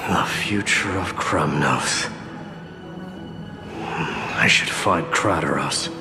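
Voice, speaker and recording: An actor playing a stern, proud young warrior crown prince in a video game, speaking in a formal, measured, commanding way. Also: male voice, extremely low pitch, vocal fry, exaggerated voice. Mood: grave, restrained. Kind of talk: scripted video game dialogue. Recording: music under the voice.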